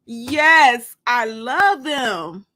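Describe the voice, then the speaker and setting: A young woman on a date, acted by a female narrator in a storybook reading. She sounds eager and smitten, bashful yet curious, with a fairly high voice. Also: expressive, very slow, sharp tone, full character voice.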